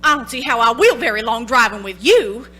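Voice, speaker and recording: Plucky young woman hitchhiker played by an actress, high bright voice, slangy, brash, vintage radio drama style, faint music. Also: lively intonation, a little fast. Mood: alarmed, defiant. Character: plucky, slangy young female hitchhiker, breezy then alarmed. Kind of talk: 1940s-style radio play acting. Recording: stage radio play with music.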